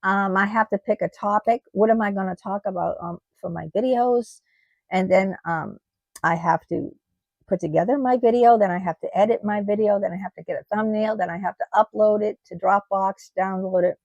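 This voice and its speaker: Brief: middle-aged American woman, vlogger, gravelly tired voice, halting delivery, dry close sound. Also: medium pitch, darkish tone, no room sound, dull audio. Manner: halting, with many ums and restarts. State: losing her voice. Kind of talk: personal vlog monologue.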